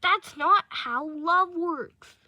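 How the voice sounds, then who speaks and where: Woman acting high-pitched, protesting captive. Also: very slow, pushed and bright, exaggerated voice. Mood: defiant. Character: frightened, exasperated captive listener, pleading to be freed. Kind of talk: amateur fan audio roleplay. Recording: dull audio with clear background noise.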